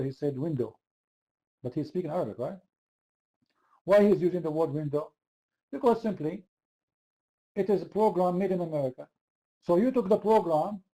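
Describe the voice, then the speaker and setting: Man, debate host, high voice, very dark mellow tone, insistent, repeats himself. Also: low-quality recording. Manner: insistent, repeats the same question. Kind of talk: heated online religious debate.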